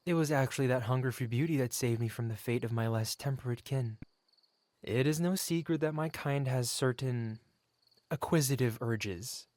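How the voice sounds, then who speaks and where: A man's voice: mid-range voice, normal speed, a little mellow, dry recording, lightly acted. Man voicing a suave, possessive dragon merchant, dry close sound. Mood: smug, reminiscing. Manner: eloquent, formal, courtly, self-satisfied. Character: wealthy possessive dragon merchant in human form, suave, covetous. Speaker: ASMR roleplay performer. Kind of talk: ASMR roleplay monologue, theatrical storytelling.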